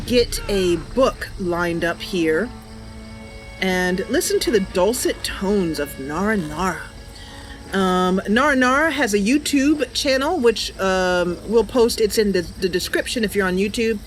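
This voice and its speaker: A woman hosting a casual gameplay livestream, chatty and giggly, with hesitant pauses. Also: mid-range voice, low-quality recording. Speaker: livestream host. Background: game music and ambient sounds.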